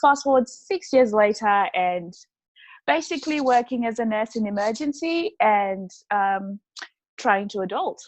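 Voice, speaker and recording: A woman's voice: medium pitch, lively intonation, unhurried, dry recording, rough recording. Woman in her 20s, joking around on a podcast, dry close sound. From Zimbabwe. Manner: playful and chatty, laughs often. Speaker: podcast guest. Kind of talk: casual chat.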